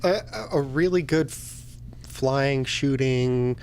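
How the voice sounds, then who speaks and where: A man's voice: mid-range voice, takes it slowly, smooth. A man co-hosting a casual video game chat, easygoing with a dry, joking way of talking. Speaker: podcast co-host.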